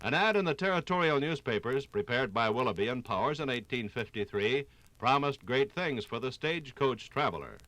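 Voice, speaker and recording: A man narrating a scripted 1950s radio documentary with dramatic, storytelling phrasing and deliberate pauses. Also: medium pitch, lively intonation, bright, forceful tone, dry recording, rough recording.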